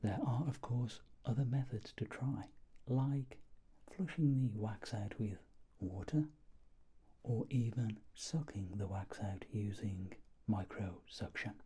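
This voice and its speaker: A man performing an ASMR doctor roleplay in a soft, breathy whisper and low murmur, gently and politely. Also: slow pace.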